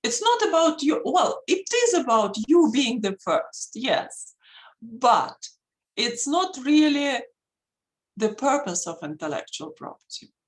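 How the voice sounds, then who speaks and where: A woman with a Russian accent, a native Russian speaker, gives an earnest talk over a video call at an unhurried pace. Her fairly high voice swings widely as she presses her points. She fills her many pauses with "um" and "uh". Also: very animated, rough recording. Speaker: patent attorney, guest speaker. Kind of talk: online presentation.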